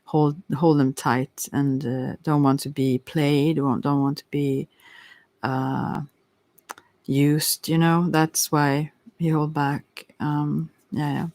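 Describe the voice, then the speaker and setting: A non-native English speaker giving a casual, unscripted tarot reading in a hesitant, halting way, searching for words. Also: medium pitch, level tone, darkish tone, no echo. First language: not English. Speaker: tarot reader.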